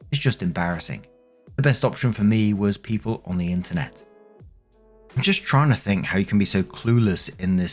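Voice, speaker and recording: Man, narrator, reading posts aloud, low voice, faint music behind. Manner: plain, steady reading with brief casual asides. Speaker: narrator of online stories.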